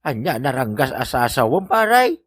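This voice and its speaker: A man's voice: medium pitch, sing-song, moderate pace, a bit bright, slightly rough, dry recording. A man playing a teasing, amused friend in an Ilocano comedy radio drama, exclamatory and mocking, with an Ilocano accent. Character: teasing, amused male friend of the old husband.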